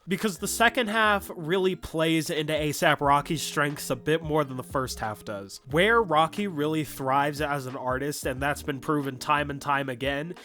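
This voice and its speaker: A man's voice: on the high side, pushed and bright. A man reviewing an album in a casual, rambling way with lots of fillers, sounding a bit sick. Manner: rambling with many fillers and restarts. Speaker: music reviewer. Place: bedroom. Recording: music playing behind.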